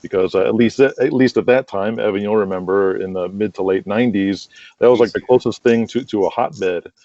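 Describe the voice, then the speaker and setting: Deep, mellow middle-aged American man. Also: a little fast, no echo, dull audio. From United States. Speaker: interview guest, wrestling promoter and manager. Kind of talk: casual interview storytelling.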